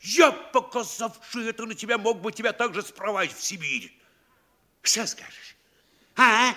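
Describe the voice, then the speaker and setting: An actor playing a pompous, bullying provincial mayor in a Russian comedy audio play, scolding theatrically between sly quiet and bellowing. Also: male voice, sits high, animated, measured pace, heavily acted. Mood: gloating, scolding.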